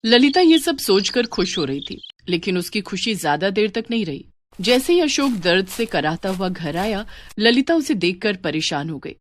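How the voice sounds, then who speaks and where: Woman narrator, medium-pitched storyteller. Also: big pitch swings, moderate pace, a little hiss or hum.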